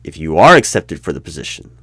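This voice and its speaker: A man in an ASMR roleplay as the stern hiring manager of a secret bureau, with deadpan questioning that breaks into sudden loud bursts and whispers. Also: rumbling low, brisk, dry recording. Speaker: interviewer in a roleplay.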